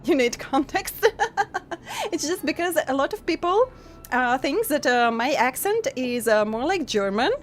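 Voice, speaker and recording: A young woman streaming a game and chatting casually with her viewers, giggling often and pausing to restart her sentences. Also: high-pitched, lively intonation, slight background noise. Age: young adult. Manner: giggly, halting with many pauses and restarts.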